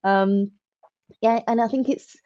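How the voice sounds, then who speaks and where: Woman, podcast host, encouraging, mellow tone, dull lo-fi audio. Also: fairly high pitch, fairly even intonation, a little slow, dry recording. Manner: encouraging, affirming. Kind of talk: interview hosting.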